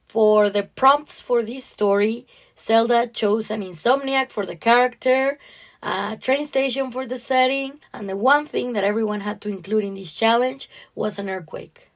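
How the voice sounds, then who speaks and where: A woman's voice: on the high side, normal speed. A woman co-hosting a podcast, talking conversationally about short stories. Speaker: podcast co-host. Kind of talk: conversational story discussion.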